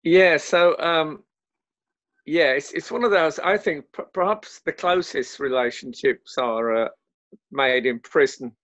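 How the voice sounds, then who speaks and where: A man's voice: fairly high pitch, measured pace, fairly bright tone, dry recording, highs cut off. A man, an actor chatting in a casual interview in a wry, hesitant way. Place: home, remote lockdown recording.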